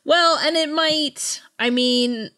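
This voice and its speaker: A woman co-hosting a podcast, chatting casually about books and fandom in an animated, playfully dramatic way. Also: high-pitched, slow pace. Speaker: podcast co-host. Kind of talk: casual book and fandom chat.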